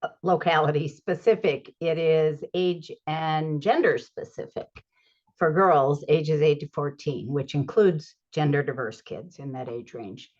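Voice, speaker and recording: A woman in her sixties asking a webinar question in a measured way with pauses, on dull video-call audio. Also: deep voice, a little mellow. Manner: measured, deliberate, pausing between phrases. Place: cluttered room at home.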